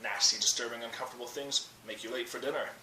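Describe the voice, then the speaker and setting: Fussy middle-aged storybook hobbit played by a male reader, polite, unadventurous, lifted pitch, roomy distant recording. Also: fairly even intonation, quick pace, bright, forceful tone. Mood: disapproving. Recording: roomy, distant webcam mic with noise.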